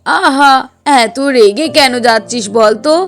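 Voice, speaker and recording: A woman's voice: fairly high pitch, measured pace. A woman voicing a kindly, patient older mother in a cartoon, soothing and reasoning. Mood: gently doubting. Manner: soothing, reasoning, mildly exasperated. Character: kindly older mother, patient, gently doubting, protective of daughter-in-law. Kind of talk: Bengali cartoon dialogue.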